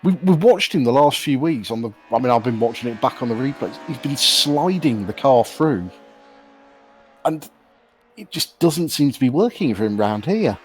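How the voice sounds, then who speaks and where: A man's voice: mid-range voice, lively intonation, moderate pace. Explanatory male race co-commentator, faint noise. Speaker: sim racing co-commentator. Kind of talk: analytical live commentary, casual.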